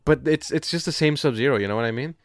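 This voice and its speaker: Deep-toned man chatting casually on a podcast. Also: fast talker, no room sound, clean studio sound. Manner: rambling, slangy, profane, jokey. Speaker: podcast co-host. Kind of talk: casual movie discussion chat.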